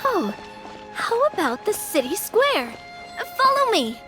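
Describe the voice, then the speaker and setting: A chirpy, high little companion, voiced by a woman, full of cheek and bounce. Her voice leaps all over the place as she chimes in. It sounds like a fan-dubbed game sidekick. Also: very animated, music in the background, full character voice, rough recording. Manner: bright and cheeky, quick to chime in.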